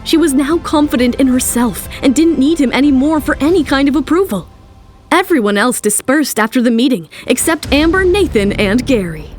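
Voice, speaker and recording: A woman's voice: high voice, expressive, moderate pace, soft background music. A woman narrator giving a scripted, dramatic telling of a story.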